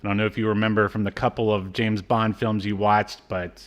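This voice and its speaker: A man's voice: lowish voice, level tone, brisk. Wry man chatting on a podcast. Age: 30s to 40s. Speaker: podcast co-host. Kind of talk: casual chat recapping a cartoon.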